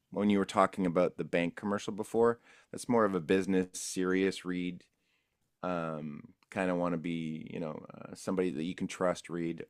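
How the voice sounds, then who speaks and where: A man's voice: low voice, no room sound, studio quality. A male voice actor casually recalling past jobs in an interview, in an easygoing, rambling way. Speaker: voice actor guest.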